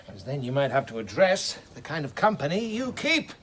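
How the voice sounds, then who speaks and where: Snooty surgeon in a radio play, really animated, higher voice, bit of noise behind him. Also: male voice, moderate pace. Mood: scornful. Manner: clipped, pompous, condescending. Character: arrogant, scornful senior surgeon, a grown man. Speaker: actor in a radio drama. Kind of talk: BBC radio drama acting.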